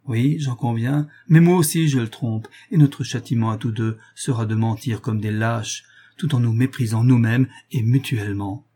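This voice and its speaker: A man's voice: medium pitch, normal speed, few pauses, dark tone, husky, lightly acted. A man reading a novel aloud as a remorseful married noblewoman, grave, reproachful and resolute as she renounces her lover. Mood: urgent, commanding.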